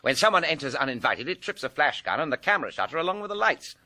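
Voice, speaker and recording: A man acts a breezy gentleman adventurer from a golden age detective radio play. His voice is fairly high and forceful, with lively swoops. He is cheeky, mock-polite and ready for a fight. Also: quick pace, exaggerated voice. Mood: breezy, brisk. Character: cheeky, hard-hitting gentleman adventurer with swaggering good cheer.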